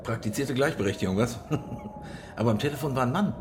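An actor playing a smug, wealthy boss in a radio crime play, lecturing pompously and with amused condescension. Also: male voice, medium pitch, lively intonation, a little fast, slight background noise, close to an everyday voice. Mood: amused probing.

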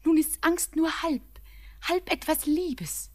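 An actress playing an anxious, devoted young woman in love, pleading in fervent, breathy, poetic radio drama diction. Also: high voice, takes it slowly. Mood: tender, anxious.

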